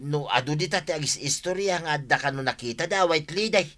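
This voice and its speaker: A Filipino man playing a stubborn, grumbling village buddy in a radio comedy, emphatic and quick to interject. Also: fairly high pitch, lively intonation, sharp tone. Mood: insistent. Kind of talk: radio comedy drama acting.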